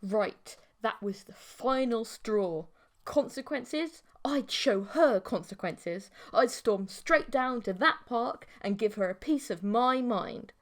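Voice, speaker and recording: A woman reads a scripted first-person story as an audiobook narrator. Her voice is fairly high and her pace is slow, with very animated intonation.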